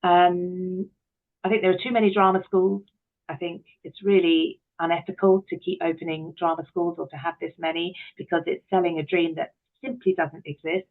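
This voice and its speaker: Creaky-voiced middle-aged woman, low pitch. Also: flat delivery, moderate pace, phone-quality sound. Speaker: interview guest, actor. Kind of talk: reflective interview conversation.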